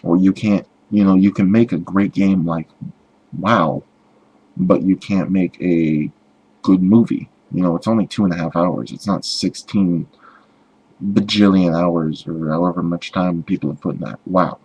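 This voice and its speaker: A man giving a casual opinion rant as a video blogger, halting and rambling, with sarcastic griping. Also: low-pitched, steady tone, a little mellow, breathy edge, dull audio.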